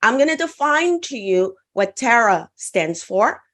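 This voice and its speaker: A Caribbean woman, French by first language, coaching an online conference audience. Her fairly high voice swings up and down with lively energy as she walks listeners through her method. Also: measured pace. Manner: upbeat coaching, asks audience to respond in chat. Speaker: conference speaker.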